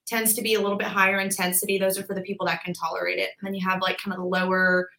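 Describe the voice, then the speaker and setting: Bright-voiced chatty young woman. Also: mid-range voice, little pitch movement, racing. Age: 20s. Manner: chatty, explains with lots of "like" and "um". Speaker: podcast guest, physical therapist. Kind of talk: casual interview chat.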